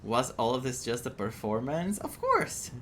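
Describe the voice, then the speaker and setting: A video game streamer giving casual reaction commentary, excitable and dramatic, repeating phrases for emphasis. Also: medium pitch, unhurried, slight background noise. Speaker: streamer playing a story game. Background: game music.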